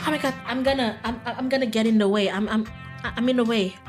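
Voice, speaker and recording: A woman livestreaming a visual novel, reading it aloud and reacting casually and excitably. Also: a little high, quick pace. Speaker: streamer playing and voicing a visual novel. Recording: music in the background. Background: game music.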